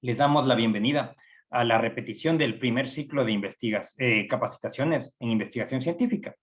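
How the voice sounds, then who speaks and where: A middle-aged man with an Ecuadorian accent, a psychology professor giving a training lecture in a measured way, pausing often with fillers. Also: medium pitch. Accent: Ecuadorian Spanish. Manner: measured, with frequent hesitations and fillers. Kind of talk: recorded online lecture.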